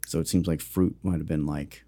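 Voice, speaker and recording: A man with a very low, mellow voice chats casually as a co-host. He rambles through facts with lots of ums and restarts, keeping a jokey tone. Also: fairly even intonation, a little fast. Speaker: podcast co-host. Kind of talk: casual chat.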